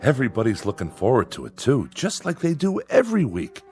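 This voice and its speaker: A man's voice: mid-range voice, wildly swooping pitch, fairly quick, darkish tone, faint music behind. A man playing a nervous farmer father with an American accent in a radio drama, folksy, hesitant and far too agreeable. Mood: forced cheer.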